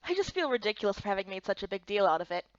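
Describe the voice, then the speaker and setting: A woman's voice: medium pitch, very animated, racing, dry recording, dull audio, lightly acted. A woman voicing a puzzled, polite young newcomer in a visual novel game. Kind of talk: voiced playthrough of fantasy visual novel.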